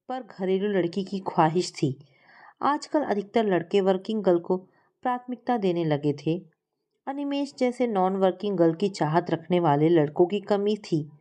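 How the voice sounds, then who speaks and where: A woman's voice: mid-range voice, mellow. A woman narrating a scripted story as a storyteller.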